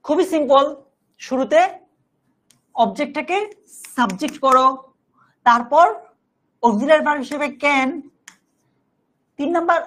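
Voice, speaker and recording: A Bangladeshi woman with a fairly high voice teaches a live online class. She explains rules step by step in an instructive way, puts questions to her students and slows right down to dictate examples. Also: a bit bright. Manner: instructive, repeatedly checking understanding, slowly dictating example sentences. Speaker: online English grammar teacher.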